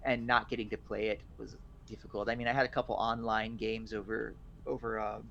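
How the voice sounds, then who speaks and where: Male podcast guest, low voice, gravelly fry, friendly and chatty. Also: flat delivery, a bit bright, muffled recording, slight background noise. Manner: friendly, chatty, quick to laugh. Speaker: guest voice actor. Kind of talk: casual podcast conversation.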